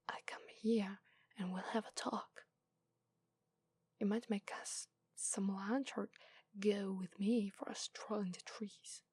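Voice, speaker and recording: A tender female fox spirit, voiced by a woman with an Italian accent, whispering close to the microphone in an ASMR roleplay. Her voice is airy and breathy with a gravelly fry, and it is a little higher than usual. The sound is dry and close. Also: no echo. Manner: soft whispering.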